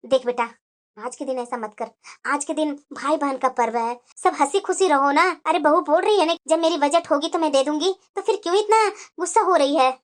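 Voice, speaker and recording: A woman's voice: extremely high pitch, fast talker, hardly any pauses. A woman voicing an older mother-in-law in a family cartoon drama, reasoning and admonishing as a firm peacemaker. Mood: firm, appeasing. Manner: admonishing, coaxing. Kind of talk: Hindi family drama storytelling.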